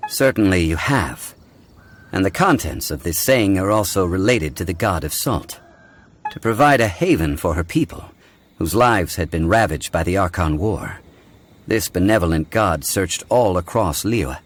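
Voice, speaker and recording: An actor playing a composed, learned gentleman of ancient wisdom in a video game, speaking in a measured, formal, didactic way. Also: low voice, expressive. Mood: solemn, storytelling.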